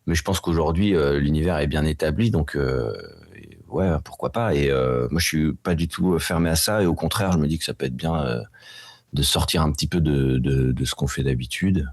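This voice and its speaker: A man's voice: rumbling low, moderate pace, no room sound. A French man in a band, answering interview questions casually with many hesitations and restarts. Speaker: band member.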